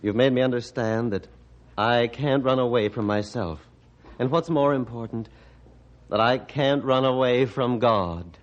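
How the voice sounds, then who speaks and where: An actor playing a solemn, humble surgeon with a grave, deliberate delivery in an old-time radio drama. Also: male voice, mid-range voice, dull audio, lightly acted. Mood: grateful, earnest. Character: solemn, humble male surgeon regaining faith in himself.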